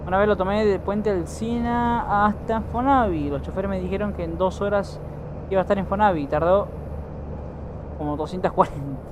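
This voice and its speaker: A man's voice: high-pitched, normal speed, noisy background. An Argentine man with an Argentine accent giving casual live commentary while streaming a driving game, chatting with viewers and swearing now and then.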